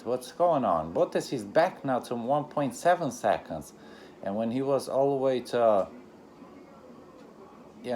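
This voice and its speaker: Low, mellow non-native man commentating. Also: slight background noise. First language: not English. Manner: halting, repetitive phrasing with broken grammar. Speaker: reaction video host. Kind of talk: informal live race commentary.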